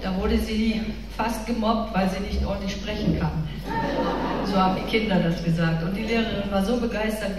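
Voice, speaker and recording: A middle-aged woman with a northern German accent giving a chatty, informal faith talk to a women's church gathering, speaking to her listeners directly and personally. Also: fairly high pitch. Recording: echoey hall from far off with room noise.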